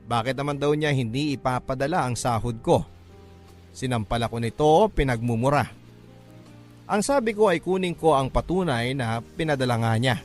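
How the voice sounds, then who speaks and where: Male narrator, Filipino accent, mid-pitched voice, emotive radio-drama storytelling with measured delivery, music underneath. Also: lively intonation. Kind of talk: dramatic scripted first-person narration in classic radio drama manner. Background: background music.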